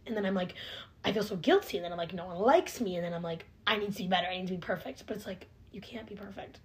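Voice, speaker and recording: A woman's voice: medium pitch, fast. A nineteen-year-old woman in a casual chat, earnest and self-reflective, saying "like" all the time. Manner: chatty, heavy use of "like", earnest and self-reflective.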